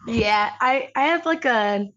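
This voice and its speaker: A woman's voice: a little high, expressive, slow, fairly bright tone, highs cut off. A woman from Illinois, a participant, introducing herself in a chatty way with lots of fillers.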